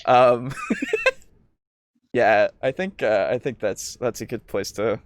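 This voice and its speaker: A man's voice: medium pitch, slow, no room sound. A man hosting a podcast, talking casually in an informal, rambling way and prone to chuckling. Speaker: podcast host. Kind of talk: casual podcast chat and show sign-off.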